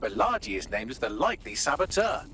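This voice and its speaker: A man playing a wry, sardonic intelligence briefer in a video game cutscene, articulate and sharp. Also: mid-range voice, sing-song, brisk, slight background noise. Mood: brisk, informative.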